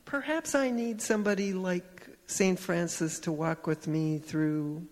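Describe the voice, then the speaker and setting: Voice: medium pitch, a little slow, darkish tone, in a large hall. A person thinking aloud in a church group discussion, thoughtful and searching.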